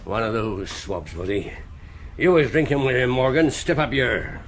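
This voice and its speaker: An actor in a radio drama playing a sly, jovial one-legged pirate cook with a salty, theatrical sailor's dialect. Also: male voice, mid-range voice, expressive, normal speed, lightly acted. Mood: menacing. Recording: noisy radio drama with ambience behind.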